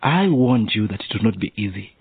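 Soothing, raspy, animated dad. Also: male voice, lowish voice, a little fast, no room sound. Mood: worried, cautioning. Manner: soothing, cautioning. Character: soothing, worried middle-aged father of a young activist. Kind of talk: radio drama acting. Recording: narrow phone-like sound.

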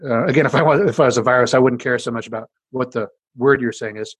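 A man with a deep, husky voice explains his research at a quick clip. He is a scientist being interviewed, talking casually, full of fillers and self-corrections. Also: no room sound.

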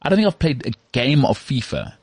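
A man's voice: mid-range voice, sing-song, a little fast, darkish tone, breathy edge, no room sound. A man with an English accent co-hosting a podcast, joking and teasing his co-host in casual banter with many restarts.

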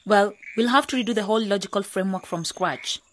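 A woman's voice: mid-range voice, fast, lightly acted. A woman playing a strong-willed, wary young village woman in a radio drama. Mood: serious.

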